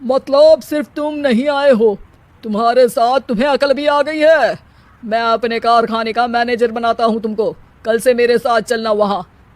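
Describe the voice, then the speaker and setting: An authoritative older father-in-law acted by a woman in a moral-story cartoon. He sounds firm and teasing, in a high voice. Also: steady tone, a little slow, a little mellow, dry recording, close to an everyday voice. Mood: approving, teasing.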